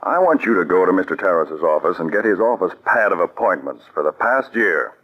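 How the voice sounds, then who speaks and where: Methodical counterspy chief, low voice, crisp orders, muffled old radio recording. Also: male voice, expressive, fairly quick. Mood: steady, commanding. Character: commanding male counterspy chief, calm and methodical. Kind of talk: 1940s radio acting.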